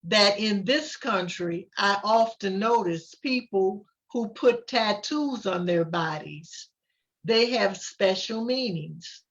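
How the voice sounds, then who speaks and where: A woman reading the part of a proud, kindly grandmother who gently explains things to children in a storybook. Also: medium pitch, slow, muffled recording. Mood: thoughtful. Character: proud, kindly Ghanaian grandmother visiting a classroom.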